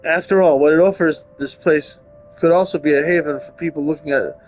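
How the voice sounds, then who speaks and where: A man's voice: fairly high pitch, fairly even intonation, brisk, rough recording. A man doing casual let's-play commentary and reading narration aloud, with wry, sarcastic asides. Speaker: game streamer playing a visual novel. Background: game background music.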